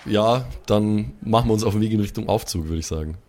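A man's voice: fairly low pitch, quick pace, mellow. A man improvising as a player in a tabletop role-playing game, chatting casually in colloquial slang.

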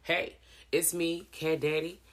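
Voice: medium pitch, level tone, slow. A podcast host chatting casually about horror films, laid-back and swearing freely.

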